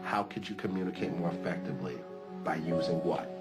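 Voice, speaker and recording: A man's voice: sits low, fairly quick. A man coaching someone through a practice interview in an encouraging manner. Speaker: job coach. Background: loud music.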